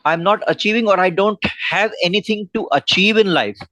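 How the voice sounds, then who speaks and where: A man with an Indian English accent tutoring English in a one-on-one video call lesson, giving earnest advice with frequent pauses and fillers. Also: sits high, bright, forceful tone, dry recording.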